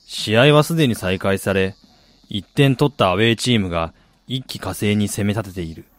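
A man's voice: on the low side, normal speed, no room sound, natural voice. Reserved man, acted, close dry sound. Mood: reflective. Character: reflective male soccer fan, narrator and cautious visitor. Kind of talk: Japanese radio drama acting with first-person narration.

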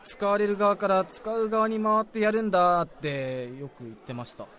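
A man's voice: high voice, moderate pace, a bit bright. A man acting an earnest, self-doubting young salaryman in a radio drama, speaking deferentially and confidingly. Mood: earnest recounting. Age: young adult. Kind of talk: Japanese radio drama dialogue.

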